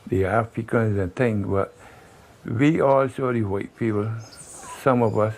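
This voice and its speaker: A man speaking haltingly in an interview. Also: on the low side, a little hiss or hum, poor audio.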